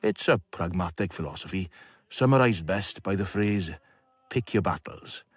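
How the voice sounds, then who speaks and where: An actor playing a wry, worldly narrator in a radio drama, with a husky voice and sardonic, rhetorical delivery. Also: male voice, sits low, expressive, moderate pace, dry recording, exaggerated voice. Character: wry, worldly, philosophising male storyteller.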